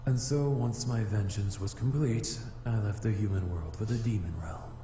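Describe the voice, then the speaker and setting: A man's voice: on the low side, normal speed, dark tone, slight background noise, lightly acted. A man playing a solemn, aloof fire demon in a visual novel, speaking in formal, stiff, haughty phrases. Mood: grim, solemn. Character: solemn male fire demon, vengeful, aloof, easily flustered. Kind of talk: visual novel character acting. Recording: echoey reverb with music behind.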